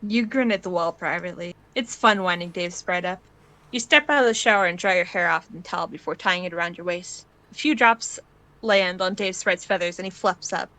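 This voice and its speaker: A woman's voice: fairly low pitch, brisk, sharp tone, dry recording, rough recording. A woman reading scripted second-person fan fiction aloud as the narrator.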